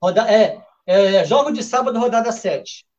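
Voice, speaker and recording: A man's voice: high-pitched, expressive, normal speed, a little mellow, highs cut off. A man hosting a football betting show in a casual, chatty way, often restarting his sentences. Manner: chatty, with many restarts and fillers. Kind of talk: casual live show talk.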